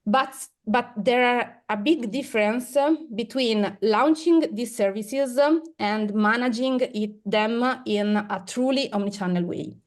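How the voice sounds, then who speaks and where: Slow, hesitant woman on a video call. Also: a little high. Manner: slow, hesitant, many fillers. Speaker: research director, guest. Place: office room with windows.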